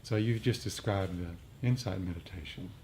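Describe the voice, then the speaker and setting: Low-voiced man explaining haltingly, faint noise. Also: a little mellow. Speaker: meditation group co-leader. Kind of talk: unscripted explanatory group discussion. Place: group meditation meeting room. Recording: faint background room noise.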